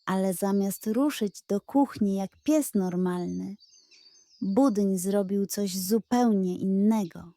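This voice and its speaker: A woman narrating a children's bedtime story in an expressive, playful way. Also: medium pitch, slow, no room sound.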